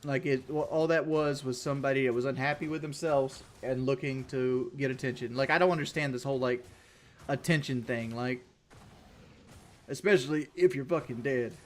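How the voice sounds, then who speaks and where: A man's voice: mid-range voice, fairly even intonation, moderate pace. Blunt, rambling male streamer. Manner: repeats himself and restarts often. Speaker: livestreamer. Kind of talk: casual livestream chat.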